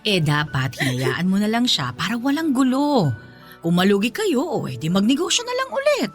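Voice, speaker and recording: Scolding middle-aged Filipino mother-in-law, swooping animated delivery, nagging and dismissive, radio drama. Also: medium pitch, faint music behind. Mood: dismissive, placating. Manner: nagging, dismissive, drawn-out phrases.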